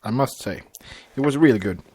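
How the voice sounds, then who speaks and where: A male podcast co-host with a mid-range voice. He speaks casually and a bit haltingly, circling back over his words as he chats or reads out notes. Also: medium pitch, animated, darkish tone, husky. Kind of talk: casual fan podcast chat, partly reading episode notes aloud.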